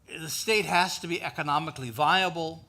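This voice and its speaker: A man's voice: on the high side, moderate pace, sharp tone. An older man, answering an audience question at a talk, hesitant at first with many restarts, then explaining earnestly. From United States. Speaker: guest speaker.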